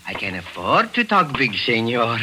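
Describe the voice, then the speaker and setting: A sly little schemer, oily and mocking as he purrs his words. His voice is bright and swings widely in pitch. Faint hiss sits under the old radio sound. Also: very animated, normal speed. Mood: gloating. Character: Mosca, small sly scheming middle-aged man. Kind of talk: 1940s radio acting.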